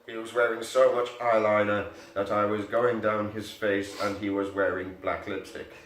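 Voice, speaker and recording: A man's voice: on the low side, level tone, normal speed, fairly bright tone, a little hiss or hum. A man giving a mock-serious dramatic reading of amateur fiction, prone to giggling. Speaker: reader. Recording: echoey room, mic at a distance.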